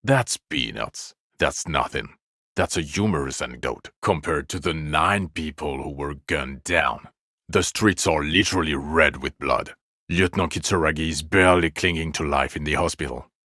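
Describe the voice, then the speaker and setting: Casting: exasperated male detective for a game, extremely low voice, sardonic, profane, accusatory, recorded close and dry. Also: moderate pace, sharp tone, no room sound, full character voice. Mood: bitter, sarcastic. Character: bitter, exasperated male police detective berating his drunk partner. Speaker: video game voice actor. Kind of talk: dramatic game dialogue, scolding.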